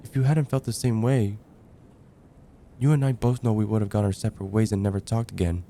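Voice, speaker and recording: A man playing a lovestruck young heartbreaker friend in an ASMR roleplay, speaking in an earnest, halting, stammering way. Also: lowish voice, fairly even intonation, warm tone, a little hiss or hum, lightly acted. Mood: regretful, vulnerable. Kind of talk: ASMR roleplay, intimate dramatic monologue.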